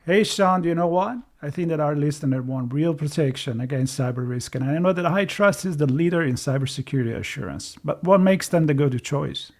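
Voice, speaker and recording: A man's voice: a little high, brisk, few pauses, a little mellow. A man co-presenting a sponsor message in a scripted, conversational ad style.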